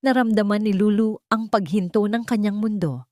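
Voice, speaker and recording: A woman's voice: medium pitch, normal speed, a little mellow, dry recording, studio quality. A woman narrating a dramatic story from a script as an audiobook reader.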